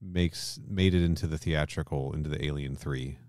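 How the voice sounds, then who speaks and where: A man's voice: rumbling low, normal speed, a little mellow, smooth, no echo, studio quality. A man co-hosting a podcast in casual discussion. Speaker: podcast co-host.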